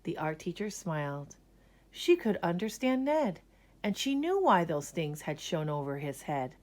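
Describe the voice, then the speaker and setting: Female children's storyteller: unhurried, expressive rhythmic reading, mid-pitched, highly animated. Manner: rhythmic, dramatic emphasis on key words. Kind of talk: expressive children's read-aloud.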